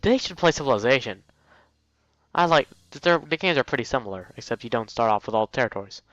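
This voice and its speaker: A man's voice: mid-range voice, lively intonation, fast, a bit bright, no echo, lo-fi sound. A man reading a story game aloud in a casual let's play style, stumbling over words and breaking off into asides.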